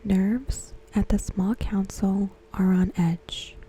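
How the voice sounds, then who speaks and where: A woman softly whispering a scripted recap in ASMR style, close to the microphone, with gentle, deliberate phrasing. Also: medium pitch, steady tone, low-quality recording. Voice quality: soft, breathy, mostly whispered. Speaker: ASMR host. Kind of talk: ASMR, softly reading a scripted episode synopsis.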